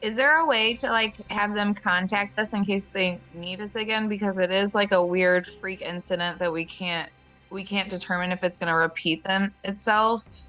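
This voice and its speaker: A woman's voice: mid-range voice, steady tone, normal speed, bright, forceful tone, soft background music, rough recording. A woman playing a tabletop game, asking hesitantly with many fillers. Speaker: player. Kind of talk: casual tabletop play.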